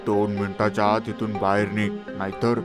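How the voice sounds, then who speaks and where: A man's voice: lowish voice, little pitch movement, normal speed, natural voice. A man playing a stern exam invigilator in a dramatised story, giving curt, commanding orders. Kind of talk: dramatised horror story acting. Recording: music behind the voice.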